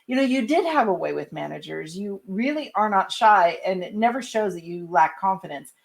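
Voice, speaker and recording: A woman interviews on a podcast in a middle-pitched voice. She is chatty and familiar, taking her time to wind through long remarks and questions. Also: lively intonation, moderate pace, vocal fry. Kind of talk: casual conversational interviewing.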